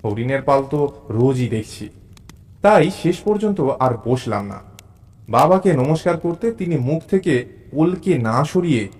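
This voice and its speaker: A man narrating a first-person suspense story in an expressive, dramatic way, with deliberate pauses. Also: medium pitch.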